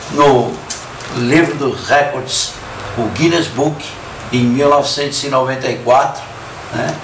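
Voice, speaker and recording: A man's voice: medium pitch, a little hiss or hum. A man from São Paulo, proudly speaking about his vocal group in a documentary interview and leaning on "aí" as a filler. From São Paulo, Brazil. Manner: proud, steady, leans on filler "aí". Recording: echoey room, mic from a distance.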